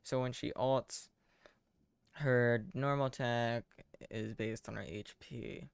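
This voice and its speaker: A man giving casual live game commentary in a deadpan, self-deprecating way, muttering asides to himself. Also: mid-range voice, slow, vocal fry, clean tone, dry recording, clean studio sound. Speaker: game streamer.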